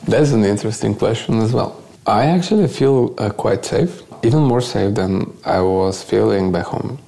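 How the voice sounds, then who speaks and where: Casting: male interview guest with a Bulgarian accent, mellow, gravelly tone, earnest and explanatory. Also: fairly low pitch, animated, moderate pace, low-quality recording. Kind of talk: conversational interview answers.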